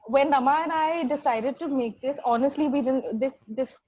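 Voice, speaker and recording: A woman chatting casually as a podcast guest, telling stories in an animated way. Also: sits high, moderate pace, dry recording. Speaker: podcast guest, fiction podcast creator. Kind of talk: casual chat. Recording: dull, lo-fi close dry audio.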